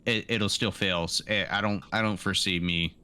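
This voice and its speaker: A man's voice: low-pitched, fairly even intonation, moderate pace, bright, forceful tone, smooth. A man playing a tabletop roleplaying game, chatting informally and thinking aloud. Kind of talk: casual game chat.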